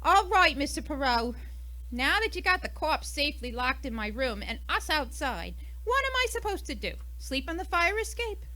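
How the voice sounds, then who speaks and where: A feisty, huffy American woman under suspicion , played by an actress, in a radio mystery. Her voice is high and sharp, swinging widely in pitch as she snaps back defensively. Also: very animated, moderate pace, heavily acted, poor audio. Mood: indignant, flustered. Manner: brash, snappy, huffy. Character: feisty, defensive, indignant single American woman, murder suspect. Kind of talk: old-time radio mystery acting.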